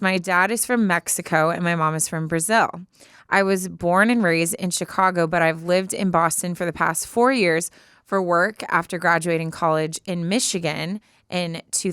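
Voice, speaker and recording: Clear, lower-pitched American woman. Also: a bit bright, dry recording, studio quality. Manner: informal, slangy. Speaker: podcast co-host. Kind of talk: casual banter and reading a listener letter aloud. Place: podcast studio.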